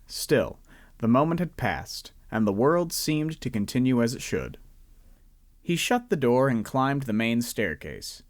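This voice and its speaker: Expressive male storybook narrator. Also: medium pitch, a little slow, dry recording, clean studio sound.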